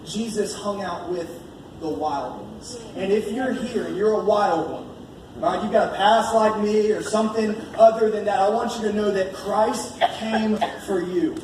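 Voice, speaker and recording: An American man preaching an informal, conversational sermon, earnest and repeating key phrases for emphasis as he reads and explains scripture. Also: sits high. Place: large echoey indoor church meeting space. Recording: echoey room, distant mic, background noise.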